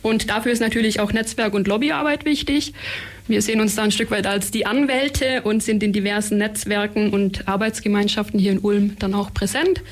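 A woman's voice: mid-range voice, fairly even intonation, fairly quick. A woman who works as a counselor for young migrants, a guest on a radio show, explaining her work fluently with frequent fillers. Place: radio studio.

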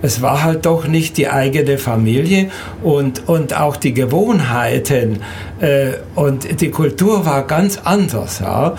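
An elderly man who grew up in Serbia and Hungary, recounting his life in an interview in a halting, hesitant way with repeated words. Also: on the high side, lively intonation, darkish tone, a little hiss or hum. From Danube Swabian from Serbia and Hungary. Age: 70s or older. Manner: halting, hesitant, repeats words, often ends with a questioning tag.

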